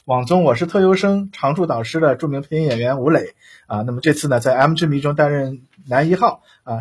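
A man hosting a live interview, speaking in a halting, conversational way. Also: medium pitch, breathy edge. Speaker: interview host.

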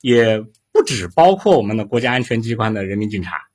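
A man's voice: on the low side, very animated, fairly quick, breathy edge. A man hosting a casual livestream interview, speaking in a hesitant, repetitive way. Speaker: livestream host.

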